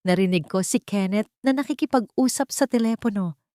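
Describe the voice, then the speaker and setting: A woman's voice: medium pitch, a little fast, dark tone, dry recording, voice pushed up, lightly acted. A woman narrator plays a frightened young Filipino woman confiding in her diary. She sounds earnest and uneasy, in the style of an audiobook drama. The recording is close and dry. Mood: fearful. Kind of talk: dramatic journal reading in audiobook.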